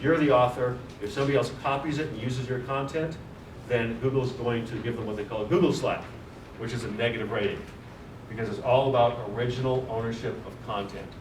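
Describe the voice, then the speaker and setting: A man's voice: mid-range voice, fairly even intonation. Man presenting in an echoey room. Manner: brisk, explanatory, lists points. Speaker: panel speaker. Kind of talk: conference presentation. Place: large office meeting room. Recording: echoey room, distant mic, noisy.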